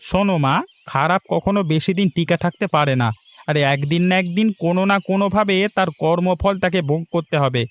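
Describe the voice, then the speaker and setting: A man's voice: high voice, a little fast, soft background music, lightly acted. A man voicing a poor, idealistic young village son in a cartoon, earnest and resolute. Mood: resolute, reassuring. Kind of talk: Bengali fairy-tale cartoon dubbing.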